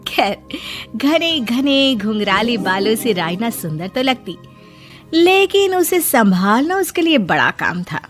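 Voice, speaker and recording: A woman's voice: fairly high pitch, sing-song, slow, faint music behind. A woman storyteller reading scripted narration for a moral tale.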